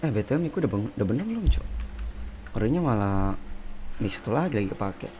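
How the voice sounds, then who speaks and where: A man's voice: mid-range voice, big pitch swings, fast talker, dark tone. Indonesian guy streaming a game, noisy background. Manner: slangy, swearing. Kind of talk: casual livestream chat while gaming. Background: game sounds.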